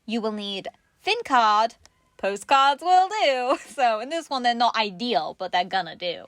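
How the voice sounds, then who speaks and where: A woman's voice: on the high side, expressive, a little slow, a bit bright, dry recording, clean studio sound. A woman co-hosting a craft show in casual comedic chat, giggly and playfully sarcastic as she presents.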